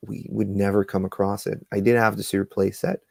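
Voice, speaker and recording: A man's voice: fairly low pitch, flat delivery, a little fast, vocal fry, dry recording. A man in a casual chat, asking questions with hesitant restarts.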